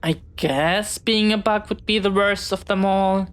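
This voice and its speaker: A woman plays a young pirate captain's child in an audio roleplay, bookish and dreamy. The delivery is slow and halting at a middling pitch, swinging between theatrical bluster and sheepish, earnest chatter. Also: steady tone, natural voice. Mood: wary, awed. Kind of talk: scripted audio roleplay addressed to the listener.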